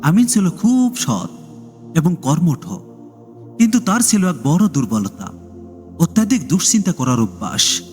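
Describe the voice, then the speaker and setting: A man's voice: on the high side, very animated, measured pace, pauses often, darkish tone. A man narrating a scripted Islamic moral tale as a storyteller. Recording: long reverb, music behind.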